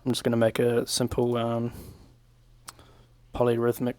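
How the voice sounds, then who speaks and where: A young man giving a casual, informal software tutorial, talking through each step in a laid-back, slangy way at a desk microphone. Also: medium pitch, monotone, normal speed, no room sound, faint music behind. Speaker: music production tutorial presenter. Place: small home room, indoors. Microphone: desk microphone on a boom arm.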